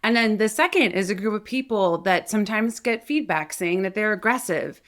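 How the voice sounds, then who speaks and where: A woman's voice: medium pitch, animated, moderate pace, fairly bright tone. A woman speaking as a voice coach and host, explaining things to viewers in an articulate, conversational way. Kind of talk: instructional talk to viewers.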